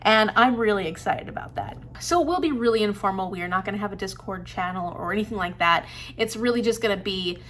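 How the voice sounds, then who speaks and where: A woman's voice: medium pitch, fast talker, a bit bright, slight background noise. A young woman chatting casually in an upbeat, enthusiastic vlog, laughing often. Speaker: booktuber.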